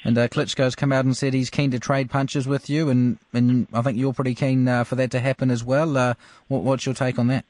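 Quick New sports radio interviewer. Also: male voice, mid-range voice, flat delivery, darkish tone, dry recording. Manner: rambling, strings several lead-ins before the question.

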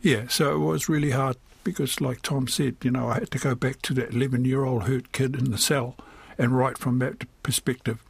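A man's voice: mid-range voice, steady tone, normal speed, gravelly, hardly any pauses, breathy edge, no room sound. An older man, talking candidly in an interview. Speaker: playwright.

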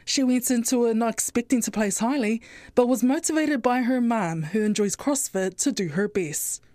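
A woman's voice: on the high side, moderate pace, dark tone, dry recording, studio quality. A woman narrating a scripted radio news report.

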